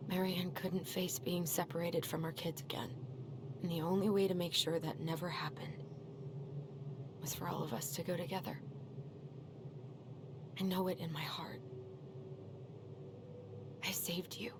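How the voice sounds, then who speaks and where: Troubled young woman, slightly low voice, intense, earnest, game drama, noisy ambience. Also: fairly even intonation, measured pace, long pauses. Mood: grave, convinced. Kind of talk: naturalistic video game cutscene acting.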